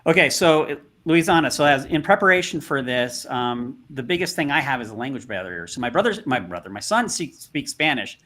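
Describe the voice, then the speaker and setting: A man hosts an online panel over a video call, his questions casual and rambling with many restarts. His voice sits at an ordinary middle pitch. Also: big pitch swings, fairly quick, few pauses, pushed and bright. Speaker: panel moderator.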